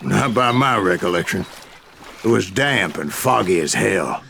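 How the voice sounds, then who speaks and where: An actor playing a gruff old gunslinger in a video game, telling tall tales in a salty, folksy American Western drawl. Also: male voice, fairly low pitch, animated, a little slow, pushed and bright, a little hiss or hum, full character voice. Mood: wry storytelling. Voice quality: gravelly.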